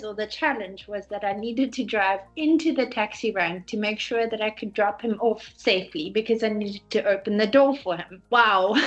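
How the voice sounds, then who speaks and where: A woman, a program manager telling a lively anecdote as a studio guest. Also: mid-range voice, muffled recording. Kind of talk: conversational storytelling.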